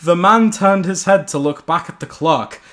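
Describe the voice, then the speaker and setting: A man's voice: high voice, normal speed, a bit bright. A young man reading a silly horror story to friends, giggling and stumbling over the words as storyteller.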